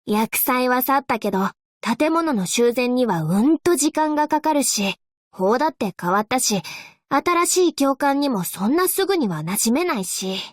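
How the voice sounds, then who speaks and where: A woman playing an upbeat, playful young angel courier girl in a Japanese anime game, with a perky, teasing, expressive delivery. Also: sits high, moderate pace, no room sound, studio quality. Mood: chatty, easygoing.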